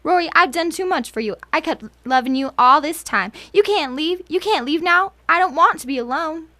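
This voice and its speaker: A woman's voice: sits high, expressive, normal speed, bright, forceful tone. An actress playing a jilted, lovesick woman, clinging and pleading in a melodramatic noir radio drama. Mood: desperate pleading. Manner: pleading, impassioned.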